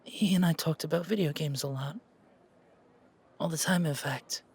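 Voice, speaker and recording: A man playing a shocked, grieving young man in an audio roleplay, stammering and halting with a breathy delivery. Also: a little high, pitched above the performer's own voice. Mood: shaken, subdued.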